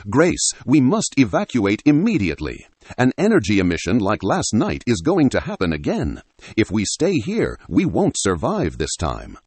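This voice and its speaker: An earnest male scientist hero from an adventure audiobook, acted by a male narrator, speaks with dramatic urgency. His voice is low and a little husky, with wide swings in pitch. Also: huge pitch swings, moderate pace, a little mellow, dry recording, full character voice. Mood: urgent alarm.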